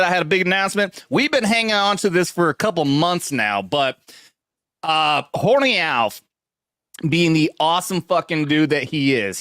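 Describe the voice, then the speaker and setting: A man's voice: high-pitched, a bit bright, clean tone, dry recording, studio quality. A man co-hosting a casual livestream, swearing and rambling with frequent laughs. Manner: profane, rambling, laughs often. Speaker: co-host. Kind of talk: casual crude banter.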